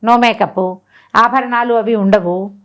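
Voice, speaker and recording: కాస్త హెచ్చు స్వరంతో కథ చెప్పే తెలుగు మహిళ. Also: female voice, on the high side, slow pace. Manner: animated retelling with rhetorical questions and acted-out dialogue. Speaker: storyteller. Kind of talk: conversational oral storytelling of a folk tale.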